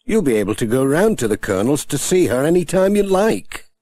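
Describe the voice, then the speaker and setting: A man's voice: on the high side, sing-song, fairly quick, a little mellow, pitched above the performer's own voice. A man doing a kindly postman. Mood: cheerful. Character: cheerful, kindly country postman.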